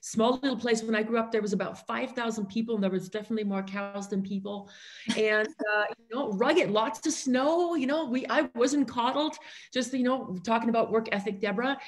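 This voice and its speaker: A woman's voice: on the high side, brisk. A middle-aged woman from the Austrian Alps, a native German speaker, telling her personal story as a podcast guest on a video call. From rural Austrian Alps. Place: indoor room on a video call.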